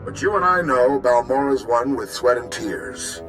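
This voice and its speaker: A man's voice: medium pitch, expressive, a little slow, lightly acted, rough recording. An actor playing a defiant veteran army commander who addresses his troops in plain, rousing, soldierly speech in a video game. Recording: loud music under the voice.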